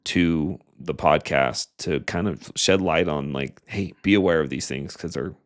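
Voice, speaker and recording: A man's voice: very deep voice, a little slow. A man co-hosting a podcast, talking casually and colloquially about his work. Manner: colloquial, acts out imagined quotes. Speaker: podcast co-host and digital marketer.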